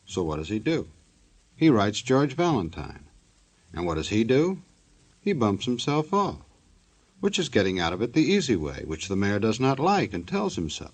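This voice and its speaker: A man's voice: lowish voice, very animated, normal speed, husky. A man narrating a 1950s radio show from a script in a wry, tongue-in-cheek, mock-formal way. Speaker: announcer-narrator.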